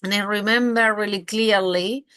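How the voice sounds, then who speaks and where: A woman's voice: medium pitch, fairly even intonation, moderate pace, a bit bright, clean tone, no room sound. Woman, Argentine Spanish accent, effusive mentor, casual swearing, tag questions, dry close recording. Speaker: brand and visibility mentor. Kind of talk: live masterclass talk to camera.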